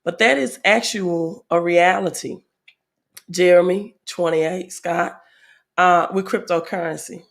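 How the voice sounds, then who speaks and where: A woman hosting a casual livestream, a little hoarse from a head cold, reading viewer comments aloud with many fillers. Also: on the low side, steady tone, takes it slowly. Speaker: livestream host.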